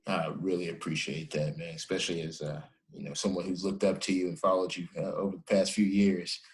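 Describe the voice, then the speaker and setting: Man, podcast host, lowish voice, friendly casual interview. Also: level tone, brisk. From Texas. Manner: friendly, appreciative, laughs easily.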